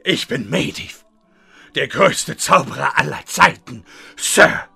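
A man playing a meek, bumbling rookie wizard, stammering and submissive with a strained raspy voice in a parody radio play. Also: a little high, expressive, takes it slowly, sharp tone, full character voice. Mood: cowed, obedient.

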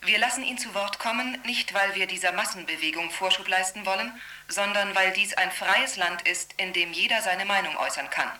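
An actress playing a composed, firm television news anchor in a radio drama, speaking formally and emphatically. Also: female voice, medium pitch, fairly quick, hardly any pauses, sharp tone, distant mic. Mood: firm, defiant.

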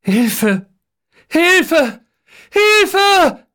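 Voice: medium pitch, normal speed, close to an everyday voice. A narrator voicing a terrified captive man in an audiobook, begging urgently and crying for help.

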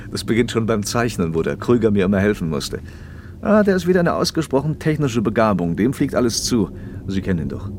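An actor playing a paternal, probing factory manager in a radio play, speaking in a measured, slightly didactic way. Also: male voice, on the low side, big pitch swings, a little mellow, slight background noise. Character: socialist factory manager, paternal, probing, talkative male official. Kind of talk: naturalistic German radio play dialogue.

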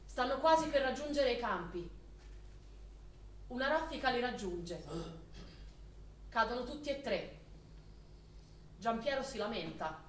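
A woman's voice: on the high side, animated, unhurried, long pauses. A woman narrating a scripted war drama as a stage actor, telling the events dramatically. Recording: echoey distant stage recording, faint noise.

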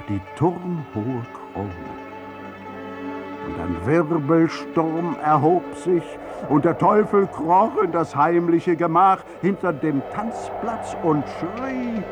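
A man narrates an experimental radio play theatrically. His voice is fairly high and moves through wide swings at a slow, declamatory pace. Music plays behind him. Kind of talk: expressive scripted narration of an avant-garde radio play.

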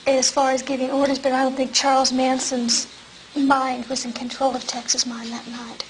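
A woman in a reflective interview, recalling events haltingly as she searches for words. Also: sits high, flat delivery, slight background noise, low-quality recording.

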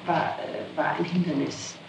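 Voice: mid-range voice, steady tone, a little slow. A person being questioned by police, giving an explanatory account with self-corrections, on an old archival tape. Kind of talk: interrogation testimony, recounting events. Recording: roomy, noisy archival tape recording.

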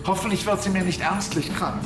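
In an echoing space with music behind, a fussy tour guide played by a male actor frets in a radio drama. His voice is very high for a man, agitated and exasperated. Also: brisk, lightly acted. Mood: agitated, fretting. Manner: fretting, exasperated. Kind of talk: German radio drama acting.

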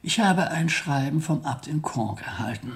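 An actor playing a grave abbot in a radio play, speaking in a formal, measured manner. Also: male voice, a little high, animated.

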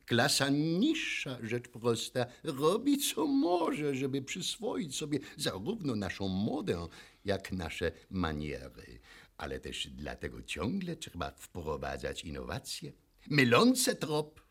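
An actor playing a pompous, snobbish aristocrat, declaiming grandly and theatrically in a radio play. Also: male voice, medium pitch, wildly swooping pitch, a bit bright, slightly rough, heavily acted. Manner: pompous, declamatory, drawn-out word by word.

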